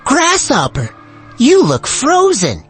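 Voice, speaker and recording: A woman's voice: sits high, huge pitch swings, slow, breathy edge, faint music behind, exaggerated voice. A woman voicing a hardworking, kindly male ant in a children's storybook, speaking with earnest, effortful emphasis. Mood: concerned, welcoming. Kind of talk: animated children's storybook read-aloud.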